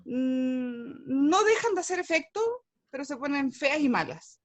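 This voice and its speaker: A woman's voice: high voice, animated, a little slow. A woman from Chile, speaking earnestly and a bit hesitantly in a group video call. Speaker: support group participant.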